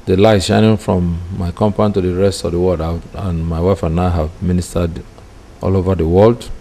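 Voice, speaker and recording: Deep, gravelly Nigerian man, mellow. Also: flat delivery, normal speed, a little hiss or hum. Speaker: church founder, apostle. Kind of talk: reflective interview storytelling. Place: small curtained room indoors. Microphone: handheld-style microphone close in front.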